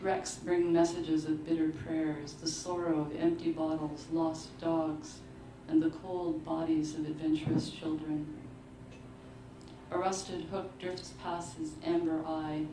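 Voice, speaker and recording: A woman giving a measured, deliberate literary reading of short fiction at a live book launch. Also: sits low, flat delivery, dark tone. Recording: roomy, distant mic, clearly noisy live venue.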